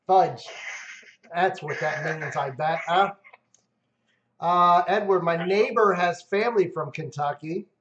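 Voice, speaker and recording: A man's voice: a little high, slow pace, long pauses, fairly bright tone, slight background noise. Joking male streamer, reads chat aloud; echoey room, dull lo-fi sound. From Ohio. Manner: joking, reads viewer comments aloud, drinking beer. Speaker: livestream host. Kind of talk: casual live stream chat.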